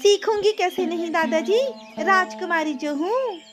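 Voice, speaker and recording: A woman's voice: very high-pitched, measured pace, music in the background. A woman voicing a proud, naive, curious little princess girl in a childlike, eager way for a Hindi cartoon story. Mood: childlike, pleading.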